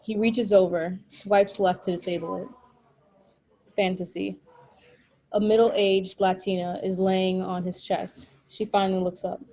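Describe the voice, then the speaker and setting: A woman's voice: mid-range voice, little pitch movement, unhurried, mellow, poor audio. A woman narrating stage directions from a screenplay at a table read.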